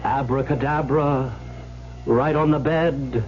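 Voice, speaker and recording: A man's voice: mid-range voice, steady tone, slow pace, darkish tone, natural voice. An actor playing a brash, carefree young heir who tells his own story in a radio drama, wry and breezy. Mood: wry, excited. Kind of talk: 1970s radio drama acting with first-person narration. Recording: dull old radio recording, faint hum.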